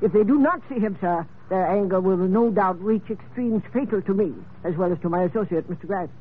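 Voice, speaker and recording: An actor playing a pompous, oily swindling Major in grandiloquent old-time radio drama style. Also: male voice, high-pitched, a little fast, close to an everyday voice. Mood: pleading. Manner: grandiloquent, unctuous, theatrical. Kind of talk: 1940s-50s radio drama acting. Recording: tinny, hissy old radio recording.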